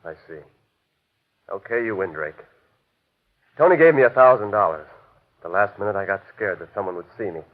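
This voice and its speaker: A tinny old radio recording with a lowish male voice. It is a cornered, aggrieved employee played by an actor, uneasy and grudging in vintage mystery-drama style. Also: animated, fairly quick, long pauses, lightly acted. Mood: defeated, confessing. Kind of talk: classic radio mystery acting.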